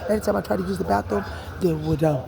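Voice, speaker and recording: A man's voice: high-pitched, fast talker, vocal fry, warm tone, a little hiss or hum. A man from Nigeria with a Nigerian accent, telling his own story in a rambling way with many restarts and repeated "you know". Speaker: interviewee. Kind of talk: street interview, personal account.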